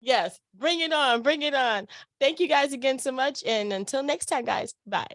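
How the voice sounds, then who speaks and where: A woman's voice: high voice, normal speed, fairly bright tone, no room sound, studio quality. A woman hosting a casual chat interview, speaking in an informal, slangy and effusive way. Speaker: interview show host.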